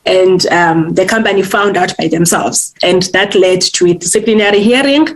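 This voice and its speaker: A woman's voice: fairly low pitch, rough recording. Candid woman author. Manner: candid, halting, with frequent ums and restarts. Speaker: author and guest. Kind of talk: personal storytelling in an interview.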